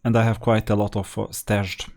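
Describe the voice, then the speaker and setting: A man's voice: lowish voice, flat delivery, normal speed, a little mellow. A man narrating a video game with casual, matter-of-fact commentary, explaining strategy as he plays. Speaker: host of a gaming strategy channel.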